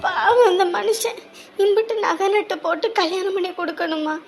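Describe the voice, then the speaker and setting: A troubled wife, as a performer plays her, weary and lamenting in a lower, subdued voice that stays bright. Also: animated, slow, soft background music, heavily acted. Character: troubled married woman, a wife.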